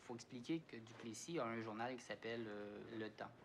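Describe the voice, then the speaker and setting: Un homme interviewé qui explique l'histoire de manière conversationnelle, avec beaucoup d'hésitations. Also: male voice, medium pitch, fairly quick, gravelly, a little hiss or hum. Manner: hesitant, many fillers and restarts. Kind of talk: conversational explanation.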